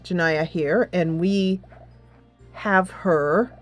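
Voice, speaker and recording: A woman's voice: fairly low pitch, drawn-out words, faint music behind. A woman gaming host giving casual commentary, thinking aloud as she plays a tactics game.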